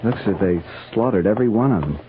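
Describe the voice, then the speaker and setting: An actor playing an inexperienced, dutiful junior cavalry officer in a 1950s radio western, deferential and earnest. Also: male voice, on the low side, expressive, fast talker, close to an everyday voice. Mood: shaken, grim. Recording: scratchy, narrow-band old radio recording.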